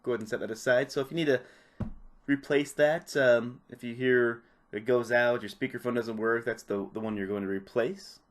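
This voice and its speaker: A man's voice: mid-range voice, fairly even intonation, normal speed. Man casually narrating a how-to. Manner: informal, step-by-step instructing. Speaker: repair tutorial presenter.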